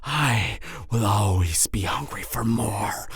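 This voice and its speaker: Deep-voiced cannibal killer lady, taunting and theatrical, slow talk that swings all over. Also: animated, full character voice. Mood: brooding, menacing. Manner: theatrical, taunting, frequent cackles and breathy whispers. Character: gleeful sadistic cannibal serial killer woman, mocking, theatrical. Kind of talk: dramatic audio roleplay to the listener.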